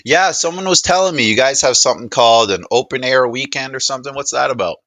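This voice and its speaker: A man's voice: medium pitch, fast, fairly bright tone, dry recording. A man presenting a product demo in casual talk, rambling and often repeating himself as he explains.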